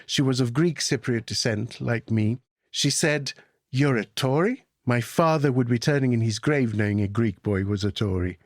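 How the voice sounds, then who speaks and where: A man's voice: mid-range voice, moderate pace, no room sound. Wry man co-hosting, very dry sound. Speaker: podcast host. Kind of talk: casual banter and commentary.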